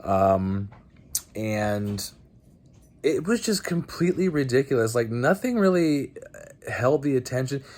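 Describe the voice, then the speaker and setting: A man hosting a casual movie review vlog, speaking bluntly in a halting, conversational way. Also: mid-range voice, lively intonation, a little mellow. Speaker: host of a movie night video channel.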